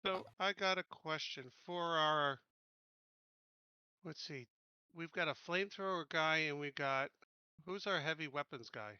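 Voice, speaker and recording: A man's voice: a little high, measured pace, long pauses, bright, forceful tone, clean tone, dry recording, dull audio. A man chatting casually as a player in a tabletop game over voice chat.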